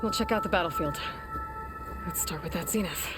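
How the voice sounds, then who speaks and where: A determined young warrior woman voiced by an actress in a video game. She speaks seriously and to the point, calm but commanding, with music behind her. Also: on the low side, brisk, natural voice. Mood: brisk, focused. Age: young adult. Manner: serious, terse, matter-of-fact. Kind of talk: video game cutscene acting.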